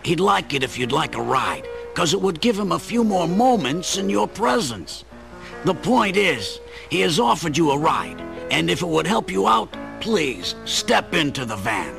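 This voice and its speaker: Gravelly wisecracking big brother. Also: male voice, on the high side, sing-song, unhurried, over music, exaggerated voice. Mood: explaining. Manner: blunt, wry. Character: blunt, wisecracking protective older brother from Brooklyn. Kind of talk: radio play acting.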